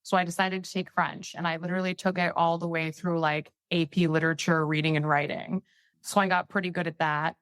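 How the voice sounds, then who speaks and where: A woman with a low-pitched voice, from Peru and a native Spanish speaker, talks as a podcast guest. She chats freely, leaning on "like" between thoughts, and the sound is close and dry. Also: little pitch movement, a little fast, a bit bright, no echo. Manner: chatty, peppered with "like" fillers. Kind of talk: casual interview chat.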